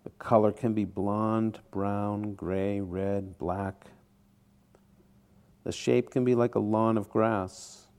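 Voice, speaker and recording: A man teaching a guided meditation in short, deliberate phrases with pauses between them. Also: lowish voice, fairly even intonation, darkish tone, clean tone.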